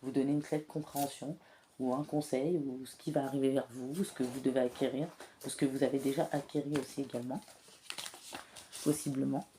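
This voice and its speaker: Soft-spoken woman with a cold, monotone. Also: a bass voice, a little hiss or hum. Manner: soft, hesitant, many filler pauses. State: has a cold, clears throat often. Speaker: tarot and oracle card reader. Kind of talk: spiritual guidance reading.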